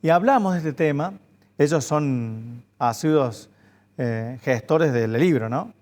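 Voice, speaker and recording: A man's voice: medium pitch, lively intonation, slow, darkish tone. A man, a bookseller guest giving earnest interview answers and insisting on his points over and over. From Argentina. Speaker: bookseller association president, guest.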